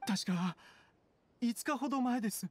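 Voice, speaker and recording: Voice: medium pitch, a little slow, close to an everyday voice. An actor voicing an earnest, anxious townsman, a younger brother who pleads formally and very politely, in a Japanese anime game. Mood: worried.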